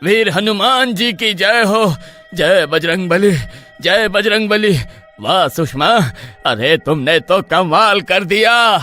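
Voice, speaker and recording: A man voicing a panicky young husband who cries out loudly for help. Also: high voice, lively intonation, slow, faint music behind, heavily acted. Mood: relieved. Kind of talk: Hindi storybook voice acting.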